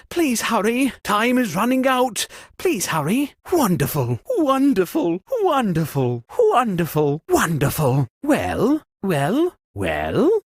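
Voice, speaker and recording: Pompous, wildly swooping murder-mystery host. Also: female voice, fairly high pitch, slightly rough, no echo, studio quality, full character voice. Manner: theatrical, pompous, drawn-out delivery. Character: theatrical aristocratic murder-mystery party host, lordly and sardonic. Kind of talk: dramatic game voice lines.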